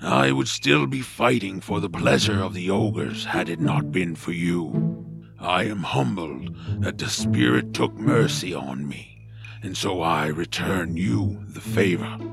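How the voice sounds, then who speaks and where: Deep, gravelly, solemn orc warrior. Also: male voice, lively intonation, heavily acted. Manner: slow, formal, grave. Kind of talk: family audio drama character acting. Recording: loud music under the voice. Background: loud background music.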